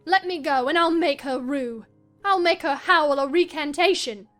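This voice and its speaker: A woman's voice: high-pitched, moderate pace, fairly bright tone, voice pushed up. A woman voicing a passionate, delirious, headstrong young wife with a scornful, commanding, dramatic manner in a classic novel reading. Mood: furious. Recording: music behind the voice.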